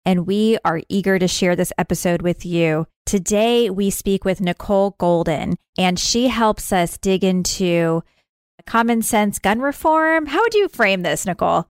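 Woman podcast host, smooth clear voice, super dry close sound, chatty and informal, kinda self-deprecating. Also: medium pitch, measured pace, no room sound. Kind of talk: chatty podcast intro and interview.

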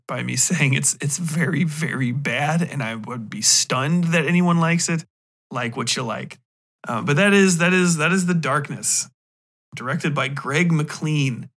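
A man's voice: fairly high pitch, a bit bright. A man hosting a podcast, casually ranting about a film in a blunt, mocking, profane way and acting out bits of dialogue. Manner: acts out imagined dialogue while retelling scenes. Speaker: podcast host. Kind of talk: casual, rambling comedic film rant.

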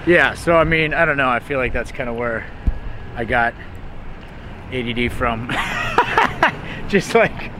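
A young man from Texas talks loosely in an outdoor interview, his sentences wandering and restarting with a steady stream of fillers. His voice sits at a middle pitch, and faint car and street noise runs behind him. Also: measured pace, long pauses. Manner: rambling, hesitant, heavy use of "like". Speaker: music artist interviewed. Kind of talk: casual interview talk.

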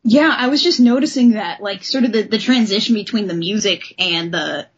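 A woman's voice: mid-range voice, normal speed, breathy edge, dull audio. A woman hosting a casual comic book discussion, speaking in an enthusiastic, informal way. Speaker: podcast host.

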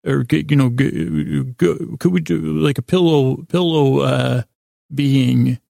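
A man hosting a bedtime podcast in a gravelly, creaky voice, with a goofy, meandering, halting monologue that keeps interrupting itself. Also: medium pitch, mellow, dry recording, studio quality. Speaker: podcast host.

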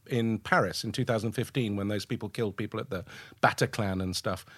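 A man's voice: medium pitch, slightly rough, no echo, studio quality. A man who grew up in Lebanon, hosting a casual chat, talking in an enthusiastic, rambling way with many restarts. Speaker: podcast host.

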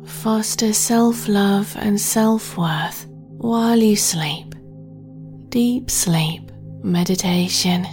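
Female sleep meditation host; breathy half-whisper, very unhurried, soft music bed. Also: medium pitch. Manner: slow, soothing, gentle delivery.

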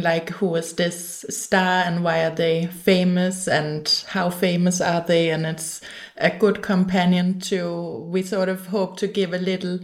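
A woman from Denmark whose first language is Danish, chatting casually as a podcast guest and explaining her show in an even way. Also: lowish voice. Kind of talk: casual chat.

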